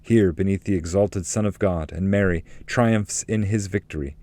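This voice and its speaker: Low-pitched man solemnly reading epic poetry. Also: normal speed, dark tone. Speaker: narrator, poetry reader.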